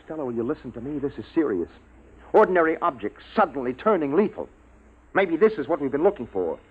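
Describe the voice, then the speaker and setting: Agitated major, animated, old radio. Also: male voice, on the high side, fast, breathy edge. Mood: urgent, pleading. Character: agitated, sardonic military major insisting he is sane. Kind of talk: 1950s radio drama acting. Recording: narrow, noisy 1950s radio recording.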